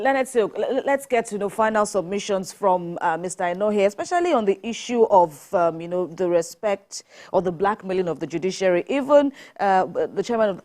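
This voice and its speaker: A woman moderating a live TV discussion, somewhat halting as she searches for words. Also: medium pitch, darkish tone. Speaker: TV host.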